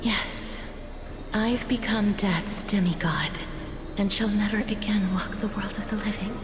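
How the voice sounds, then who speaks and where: A woman's voice: medium pitch, lightly acted. A woman playing a solemn female demigod of death in a game, with a formal, dramatic delivery. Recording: reverberant voice over music.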